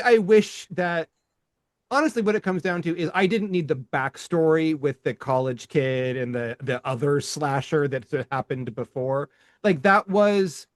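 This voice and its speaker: Excitable, rambling man with a higher voice. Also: no echo, studio quality. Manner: rambling, many restarts and swearing, excitable. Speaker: podcast co-host. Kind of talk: casual movie podcast chat.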